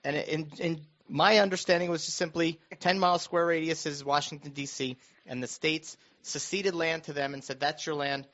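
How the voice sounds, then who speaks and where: A man's voice: steady tone, moderate pace, few pauses, a bit bright. A man chatting casually about politics in an earnest, explanatory manner, repeating himself for emphasis. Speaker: radio show host and school board member. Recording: dull, muffled low-fidelity audio.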